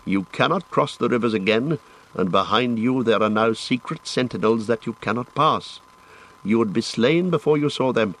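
A man reading an audiobook, voicing a stern male elf guardian who speaks in a measured, formal way. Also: mid-range voice, fairly bright tone, natural voice, poor audio.

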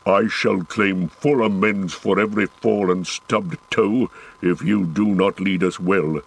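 A stubborn dwarf warrior speaks in a low, husky growl, as a male audiobook narrator puts him on. He is gruff and defiant, slow and weighty in his words. The storybook voice is deep and rough-edged. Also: steady tone, heavily acted. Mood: gruffly joking. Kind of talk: audiobook character voice.

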